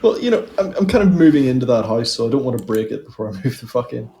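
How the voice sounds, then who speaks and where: A man's voice: mid-range voice, sing-song, quick pace, gravelly, crowd noise. A man chatting and joking with friends on a gaming voice call, swearing casually. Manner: joking, swearing, laughs often.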